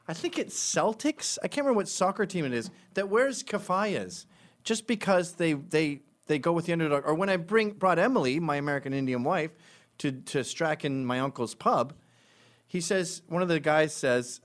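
A man hosting a casual chat, teasing and provocative, slipping into impressions. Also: a little high. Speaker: host.

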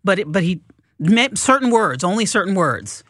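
Bright-voiced wry female co-host. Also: mid-range voice, very animated, no room sound, studio quality. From mid-Missouri, USA. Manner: wry interjections and quick laughs. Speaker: radio co-host. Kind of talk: casual on-air chat.

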